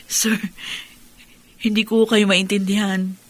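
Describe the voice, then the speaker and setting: Devoted single mother, middle-aged, Filipino accent, gentle and earnest, mid-range, radio drama. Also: mid-range voice, little pitch movement, slow. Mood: polite, puzzled. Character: devoted hardworking single mother, warm and modest office employee. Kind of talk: Tagalog radio drama acting.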